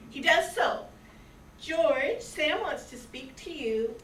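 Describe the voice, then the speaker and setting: Older lady acting sweet young sweetheart in a radio play, high slow voice, roomy sound. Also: expressive, fairly bright tone, heavily acted. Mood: patient. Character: Mary, sweet pleading young sweetheart and bride. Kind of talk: amateur old-time radio play acting. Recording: echoey distant room, faint noise.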